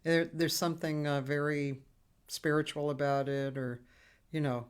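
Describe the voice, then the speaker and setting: A woman's voice: low voice, level tone, normal speed, smooth. A woman hosting a live online show, talking casually and rambling, with many restarts. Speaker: host. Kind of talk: casual chat.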